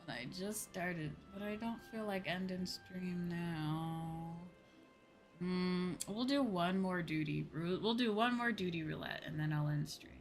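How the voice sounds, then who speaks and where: A woman with a low-pitched voice is streaming a game. She talks casually to her viewers and muses aloud, often repeating her own phrases. Faint music plays behind her. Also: measured pace. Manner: musing aloud, repeating herself. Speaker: livestreamer playing an online game. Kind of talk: casual livestream chat while gaming.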